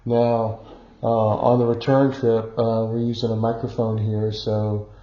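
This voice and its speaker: A man giving an unscripted technical demonstration, explaining in a halting, hesitant, improvised way. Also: lowish voice, little pitch movement, mellow. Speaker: electronics hobbyist demonstrating equipment. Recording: roomy echo, dull muffled sound.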